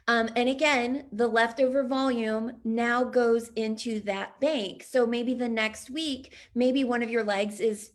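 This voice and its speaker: A woman in her thirties leading an online business training, chatty and upbeat, with a slightly raspy voice. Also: on the high side. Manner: upbeat, chatty, frequent laughs and throat clearing. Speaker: business coach.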